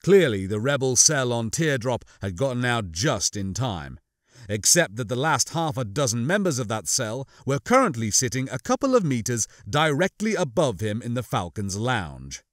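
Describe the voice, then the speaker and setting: Animated male audiobook narrator. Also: mid-range voice, moderate pace, no echo, studio quality. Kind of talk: dramatic scripted narration of a science fiction novel.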